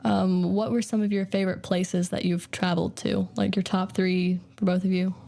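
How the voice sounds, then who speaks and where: A woman's voice: mid-range voice, little pitch movement, normal speed, creaky, mellow. A young woman hosting an interview show, asking short, friendly questions. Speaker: host.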